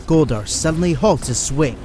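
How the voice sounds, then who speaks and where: A man's voice: on the high side, expressive, normal speed, music in the background. A man giving dramatic scripted narration as the narrator of an action audio drama.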